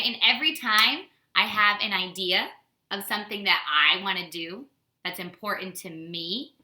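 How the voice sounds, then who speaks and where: Bright, echoey American woman teaching kids. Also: medium pitch. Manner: animated, simple wording with rhetorical questions. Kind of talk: casual storytime lesson for young children. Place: indoors at home. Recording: roomy, echoey indoor home recording.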